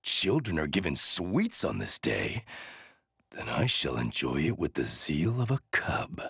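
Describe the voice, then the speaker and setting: An actor playing a proud, gruff beastly warrior in a video game, with stately, formal phrasing. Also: male voice, very deep voice, wildly swooping pitch, unhurried, a bit bright, no room sound, clean studio sound, heavily acted. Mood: grave zeal. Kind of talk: scripted video game character voice lines.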